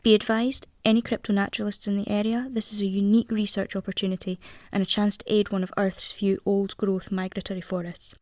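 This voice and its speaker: A woman playing a capable field naturalist who radios in a scripted report for a fiction podcast, brisk and matter-of-fact. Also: mid-range voice, level tone, darkish tone, no echo, clean studio sound, lightly acted. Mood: businesslike, concerned.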